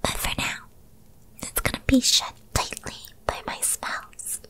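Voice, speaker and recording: A woman voicing a possessive, lovestruck young witch girlfriend in an ASMR roleplay, in a breathy, cooing and controlling manner. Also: unhurried, sharp tone. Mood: smug, hurt.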